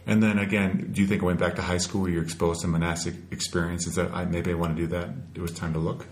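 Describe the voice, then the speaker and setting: A man's voice: sits low, little pitch movement, quick pace. A man hosting an informal podcast interview, speaking in simple, conversational phrases.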